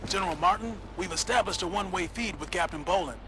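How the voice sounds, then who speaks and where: A military communications officer in a game. His voice is high, his delivery formal and procedural, with noticeable vehicle noise behind him. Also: fast. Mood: businesslike. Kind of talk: military shooter game voice acting. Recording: clearly noisy, vehicle rumble behind.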